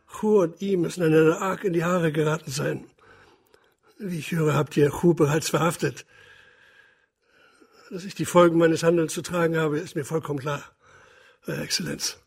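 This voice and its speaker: A man's voice: fairly high pitch, fairly even intonation, moderate pace, gravelly, long pauses, husky. An actor playing an embittered, vengeful puppeteer father in a radio drama, brooding and formal in his speech. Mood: brooding, bitter.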